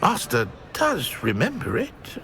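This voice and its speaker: A man voicing a servile, nervous house-elf servant in a video game, creaky and cringing, speaking hesitantly. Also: a little high, huge pitch swings, slow pace, pushed and bright, a little hiss or hum, heavily acted. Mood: nervous, deferential. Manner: cringing, hesitant, speaks of himself in third person.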